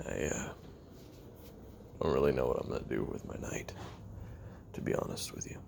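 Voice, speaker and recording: A man playing a weary, sardonic assassin recording confessional memoirs, halting and deadpan, with a gravelly voice. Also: very deep voice, noisy background, full character voice. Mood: weary, casual. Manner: halting, deadpan, dryly self-deprecating. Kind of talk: confessional audio roleplay monologue.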